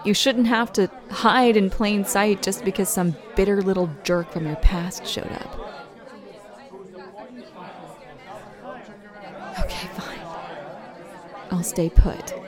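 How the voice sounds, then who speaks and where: A woman's voice: mid-range voice, steady tone, a little slow, crowd noise, close to an everyday voice. A woman playing a fiercely protective, loving young girlfriend who speaks straight to the listener as "baby" in a roleplay audio. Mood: concerned.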